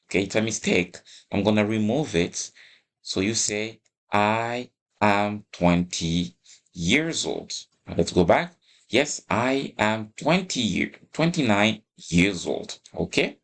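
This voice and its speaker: A man giving an instructional English lesson, explaining emphatically with plenty of repetition and frequent check-in questions. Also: deep voice. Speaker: English teacher.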